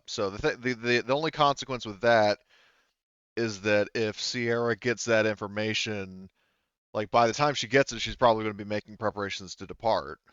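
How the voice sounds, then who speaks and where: A man running a tabletop roleplaying game as game master, explaining things haltingly and thinking aloud. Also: fairly low pitch, a bit bright, clean tone, dry recording, muffled recording.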